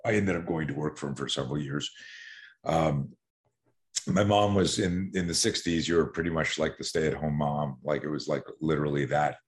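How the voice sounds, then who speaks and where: A man in his sixties, from Canada, with a cavernously deep voice. He tells his stories as a podcast guest in a loose, animated way, often looping back and starting his sentences over. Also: normal speed. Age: 60s. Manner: animated, rambling storyteller with many restarts. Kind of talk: casual podcast interview.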